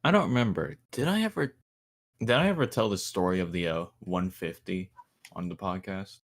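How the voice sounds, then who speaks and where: A man's voice: sits low, lively intonation, moderate pace. A man co-hosting a casual podcast, telling a work story in a dry, sarcastic way. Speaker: podcast co-host. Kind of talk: casual chat.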